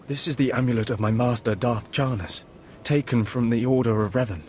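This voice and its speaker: An actor playing a guarded, haughty, suspicious Sith schemer in a video game, with a deliberate delivery. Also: male voice, medium pitch, a little hiss or hum, lightly acted. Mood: secretive.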